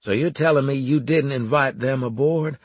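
A man's voice: mid-range voice, normal speed, slightly rough, no echo, voice pushed up, natural voice. Man playing a brash, folksy joking soldier. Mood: teasing. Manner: laid-back, teasing, colloquial.

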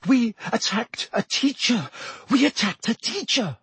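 A man's voice: very high-pitched, animated, normal speed, darkish tone, husky, no echo, pitched above the performer's own voice, heavily acted. A man reading an audiobook, voicing a frightened, anxious teenage schoolgirl who whimpers.

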